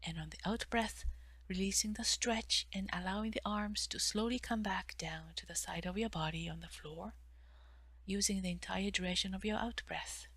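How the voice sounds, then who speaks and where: Breathy lady doing a guided meditation, gentle and measured, really dry close sound. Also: mid-range voice, no room sound. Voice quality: soft, breathy, often near-whispered phrase endings. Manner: gentle, measured instruction with pauses. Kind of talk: guided meditation for mindful stretching.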